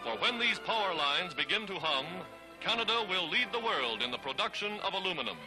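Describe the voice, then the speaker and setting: A man's voice: high-pitched, big pitch swings, fairly quick, poor audio. An American man narrating a 1940s newsreel in an emphatic, dramatic, declamatory style, reading from a script. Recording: 1940s newsreel soundtrack under loud music. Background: loud music.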